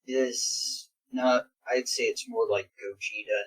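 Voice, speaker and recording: Husky, slow-talking man. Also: mid-range voice, fairly even intonation, a bit bright, low-quality recording. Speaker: reader on a bad fan fiction read-along show. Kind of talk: casual group chat with riffing.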